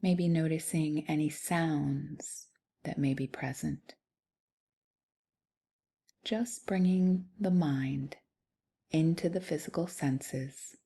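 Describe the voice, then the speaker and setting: Soft breathy lady doing a guided body scan, really slow n soothing, mellow low voice. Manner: soothing, soft, breathy, unhurried with long pauses. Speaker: guided meditation teacher.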